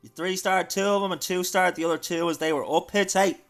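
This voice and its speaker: Irish man commentating games, higher-pitched voice. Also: steady tone, fairly quick, fairly bright tone, soft background music. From Ireland. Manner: chatty, addresses viewers. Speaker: livestream host and esports caster. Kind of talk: live game commentary.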